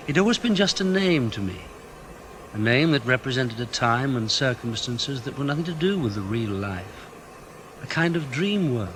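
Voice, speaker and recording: Plain-spoken spy played by a man, mid-pitched. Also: fairly bright tone, a little hiss or hum, lightly acted, low-quality recording. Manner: plain-spoken, questioning, reflective. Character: probing, matter-of-fact British intelligence officer and narrator. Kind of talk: radio drama acting, first-person narration.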